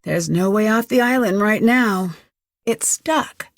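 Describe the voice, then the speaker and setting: A woman playing an inquisitive, level-headed young detective thinking aloud in a video game, earnest and crisp. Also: medium pitch, slow, no echo, studio quality. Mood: focused, pondering.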